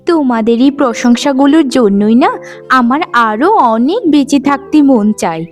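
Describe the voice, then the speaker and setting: A woman playing a kind young forest maiden in a cartoon fairy tale, with a tender, expressive way of talking. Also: high-pitched, normal speed, faint music behind. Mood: tender, happy.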